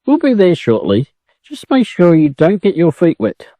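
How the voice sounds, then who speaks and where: A man's voice: high voice, huge pitch swings, normal speed, warm tone, dry recording, voice pushed up, full character voice. An Australian man acts out a storybook mother hen who guards her babies and likes to chat. The voice is raised and swings up and down, recorded close and dry. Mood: friendly, chatty. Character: protective, easily angered mother hen, chatty with friends. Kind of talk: storybook character voice.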